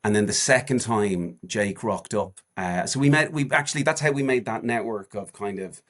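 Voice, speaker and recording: Male guest, 40s, low register, rambling conversational delivery with lots of restarts. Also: moderate pace, fairly bright tone. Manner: rambling, with frequent restarts and fillers. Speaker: guest, charity walker. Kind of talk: casual podcast chat.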